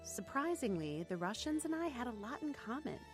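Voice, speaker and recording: A woman's voice: on the high side, very animated, fairly quick, over music. An actress playing an earnest young woman who reflectively narrates her testimony in a radio drama.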